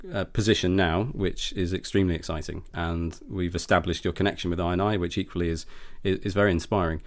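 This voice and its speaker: A male podcast host with a very low, deep voice leads the conversation. He asks his questions politely and at a measured pace, with a casual tone. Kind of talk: conversational interview hosting.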